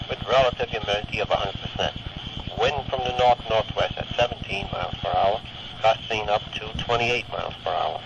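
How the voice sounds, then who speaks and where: A man giving a scripted weather report as a radio announcer, formal and matter-of-fact. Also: lowish voice. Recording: dull, noisy 1970s weather radio broadcast.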